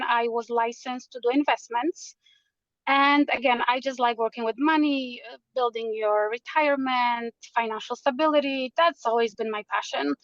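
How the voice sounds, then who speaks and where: A woman's voice: high-pitched, no room sound, muffled recording. A woman from Poland, a realtor earnestly explaining her background and the market as a guest in an interview. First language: Polish. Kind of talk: interview answers.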